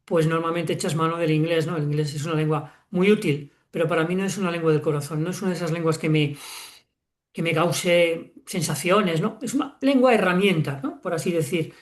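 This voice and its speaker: Spanish guy in his 60s, guest on an interview, thinks out loud, lots of um and restarts. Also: a little high, brisk. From Spain. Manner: reflective, many fillers and self-corrections. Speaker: guest author. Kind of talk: relaxed interview conversation.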